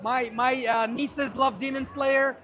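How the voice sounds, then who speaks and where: A man's voice: extremely high pitch, level tone, slow pace, fairly bright tone, a little hiss or hum. An enthusiastic man from Kuwait hosting an interview, often echoing what his guest says. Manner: enthusiastic, echoes the guest's phrases. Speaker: interviewer, TV host.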